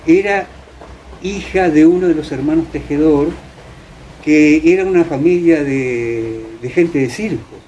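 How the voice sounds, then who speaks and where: An Argentine man recounting history conversationally as an interview guest, halting now and then as he searches for names. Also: on the high side, mellow. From Entre Ríos, Argentina. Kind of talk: conversational storytelling. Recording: muffled, clear background noise.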